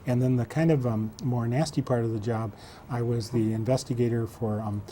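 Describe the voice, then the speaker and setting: Measured man in his sixties. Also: mid-range voice, steady tone, warm tone, a little hiss or hum. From south central Minnesota. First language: German. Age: 60s. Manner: measured, halting, many fillers. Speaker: school superintendent guest. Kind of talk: local TV interview.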